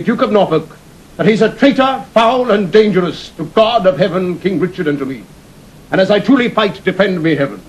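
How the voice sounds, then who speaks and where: Bold young noble challenger. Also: male voice, high voice, poor audio. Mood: defiant. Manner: ringing, fervent declamation. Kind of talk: classical Shakespearean audio drama acting.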